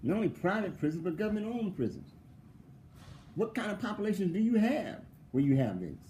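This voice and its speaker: Animated man, mocking rhetorical questions. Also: high-pitched, brisk, a little hiss or hum. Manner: emphatic, repeats points for stress. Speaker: philosophy professor. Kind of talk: conversational interview answer.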